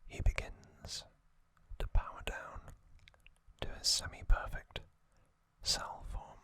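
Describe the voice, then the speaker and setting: A man whispering a scripted ASMR narration in short, deliberate, hushed phrases. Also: dry recording. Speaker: ASMR host.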